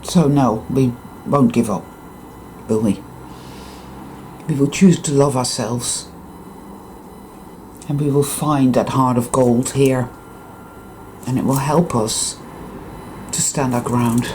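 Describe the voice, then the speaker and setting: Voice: medium pitch, steady tone, slow pace, pauses often, a little mellow, a little hiss or hum. Intense, swearing speaker with a Dutch accent. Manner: intense, profane, emphatic, repeats words for emphasis. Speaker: spiritual vlogger. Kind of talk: impassioned monologue to viewers.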